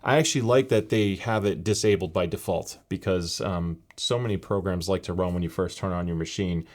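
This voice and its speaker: A man giving a casual, explanatory walkthrough of a headset's software, with frequent fillers. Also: low-pitched, brisk. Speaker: tech reviewer. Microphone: gaming headset mic in later part.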